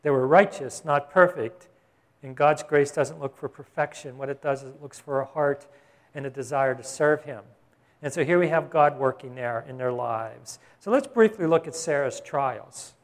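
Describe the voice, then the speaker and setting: A man's voice: medium pitch, moderate pace. A man preaching a sermon as a Bible teacher, in a conversational way with frequent questions to his listeners. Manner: conversational preaching, asks the congregation "Got it?". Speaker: pastor.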